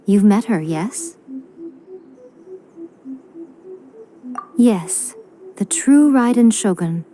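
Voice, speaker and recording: An actress voicing a sly, elegant shrine priestess in a video game, composed and teasing, with measured, knowing phrasing. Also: female voice, medium pitch, expressive, warm tone. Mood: teasing, probing. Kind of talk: scripted video game dialogue. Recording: background music under the dialogue.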